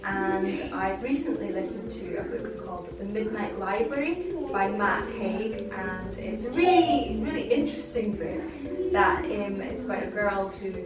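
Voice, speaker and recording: A woman with a Scottish accent who teaches English, talking casually to the camera and chatting with quick rushes of words. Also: mid-range voice, animated. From south of Scotland. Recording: echoey room, mic far off, music behind.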